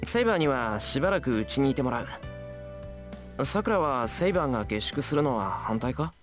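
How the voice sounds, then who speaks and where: A man's voice: medium pitch, sing-song, very fast, music in the background, natural voice. A man playing an earnest, plain-spoken teenage schoolboy in a Japanese visual novel. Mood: reassuring.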